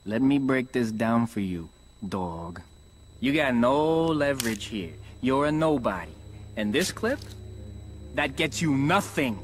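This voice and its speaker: An actor playing a corrupt, contemptuous police lieutenant in a crime game, with a sneering, threatening manner. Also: male voice, mid-range voice, animated, a little slow, soft background music, lightly acted.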